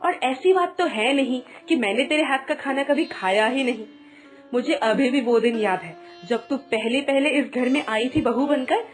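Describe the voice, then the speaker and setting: A high, scolding voice for the bossy elder sister-in-law of an animated household tale, played by a woman. She is mocking and theatrical in her delivery. Music runs behind her. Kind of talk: Hindi animated moral story acting.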